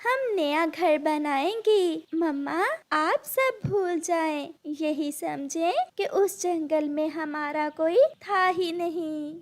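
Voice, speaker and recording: Woman doing a little kid bird girl for a cartoon, super high squeaky childlike voice, earnest n comforting. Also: takes it slowly. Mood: consoling.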